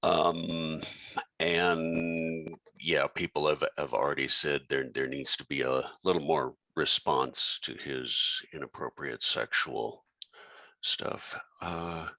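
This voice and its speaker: A man giving casual, halting, understated feedback on a lo-fi video call. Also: extremely low pitch, fairly even intonation, gravelly, bright, forceful tone, no room sound. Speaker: table read participant. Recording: dry, lo-fi video call audio.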